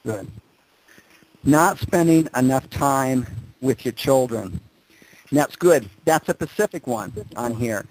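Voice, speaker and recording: A man's voice: medium pitch, animated, takes it slowly, darkish tone, poor audio. A man leading a group conference call as a coach, directing the other person with probing questions.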